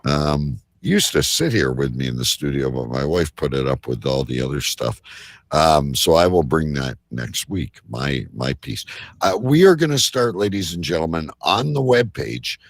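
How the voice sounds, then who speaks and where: A man's voice: rumbling low, lively intonation, moderate pace, no echo. A man hosting a casual panel chat, bantering in a blunt, teasing way. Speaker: show host.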